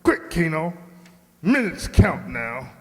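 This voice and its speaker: A man's voice: fairly high pitch, big pitch swings, slow, slightly rough, full character voice. A man playing a cold, scheming crime boss in a radio drama, pompous, menacing and imperious. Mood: urgent, furious. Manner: pompous, menacing, theatrical delivery. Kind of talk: old-time radio drama acting. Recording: long hollow reverb.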